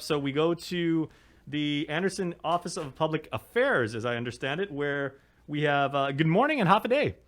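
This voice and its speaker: A man's voice: mid-range voice, fairly bright tone. A man from Guam hosting a live news interview, upbeat and joking, with many fillers and restarts. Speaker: news show host.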